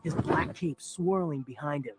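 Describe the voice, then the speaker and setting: An actor playing a young sidekick who narrates a superhero audio adventure in a melodramatic, scripted style. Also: male voice, on the high side, moderate pace, heavy background noise, natural voice. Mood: excited, dramatic.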